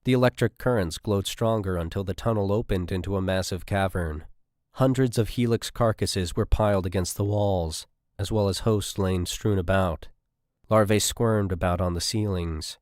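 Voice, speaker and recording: A man narrates a military sci-fi audiobook in a low voice. The reading is scripted storytelling, recorded dry and close with hardly any room sound. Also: moderate pace, a little mellow, no room sound.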